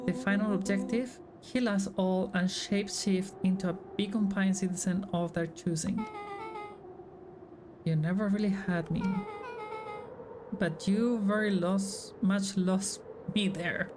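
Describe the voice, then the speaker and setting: A woman streamer reading visual novel dialogue aloud in character. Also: medium pitch. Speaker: streamer voicing game dialogue. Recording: game music behind the voice. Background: game music.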